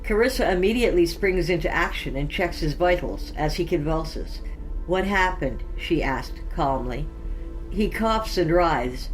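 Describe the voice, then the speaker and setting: A woman's voice: low-pitched, animated, measured pace, poor audio. A woman hosting a fiction podcast and reading scripted stories as narrator, with music behind her. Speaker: podcast host and story narrator.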